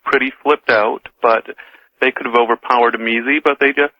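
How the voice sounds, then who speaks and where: A man calling in to a radio show, giving his opinion informally and a bit haltingly. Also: medium pitch, steady tone. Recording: muffled lo-fi radio call.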